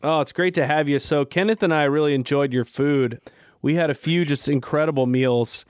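A man's voice: a little high, moderate pace, smooth, dry recording. A man hosting a podcast, chatting in an upbeat, casual way as he introduces the episode and his guest. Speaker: podcast host.

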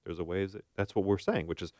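A man's voice: low voice, fast, darkish tone, no echo, clean studio sound. A man co-hosting a conversational podcast, explaining things in an informal, illustrative way. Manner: explains through analogies and acted-out quotes. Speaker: podcast co-host and therapist.

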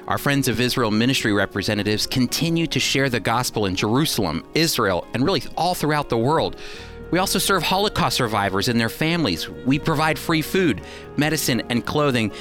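A man's voice: medium pitch, a bit bright. A man hosting a radio program, speaking directly to listeners in a scripted ministry style. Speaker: radio program host and teacher. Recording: faint music bed behind voice. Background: music.

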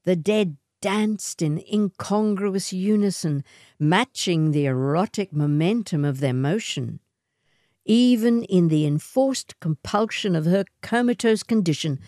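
Slow, mellow woman storyteller. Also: on the low side, no echo, clean studio sound. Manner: measured, atmospheric. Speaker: short story reader. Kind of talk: literary storytelling narration.

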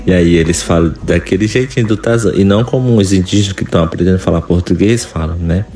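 A man speaking as an interview guest, relaxed and explanatory, with frequent hesitations. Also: deep voice, quick pace, soft background music. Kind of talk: conversational interview.

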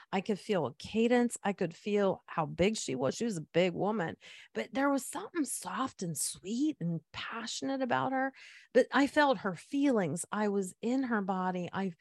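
A woman's voice: on the high side, no echo, studio quality. A woman who works as a medium, speaking in a chatty, earnest way on a casual podcast and laughing between phrases. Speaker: spiritual medium.

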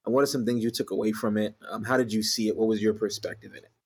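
A man's voice: fairly low pitch, very fast, breathy edge. Laid-back man hosting a podcast. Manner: relaxed, many "um" fillers. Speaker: podcast host.

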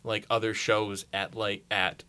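A man's voice: fairly low pitch, level tone, unhurried, sharp tone. A man chatting casually and emphatically as a podcast guest, rambling through opinions with some swearing. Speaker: podcast guest, comics blogger.

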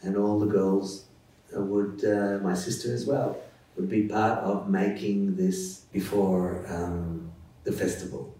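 Australian guy, middle-aged, low and mellow, relaxed storyteller; roomy, distant sound. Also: slow pace. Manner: easygoing, chuckles now and then, many fillers and restarts. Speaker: interview guest. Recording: echoey room, distant mic, faint music.

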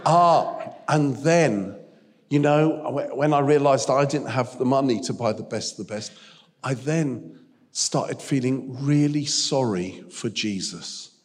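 A man preaching a humorous sermon as a guest speaker, telling stories with jokey, animated asides, in a church hall. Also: medium pitch, takes it slowly.

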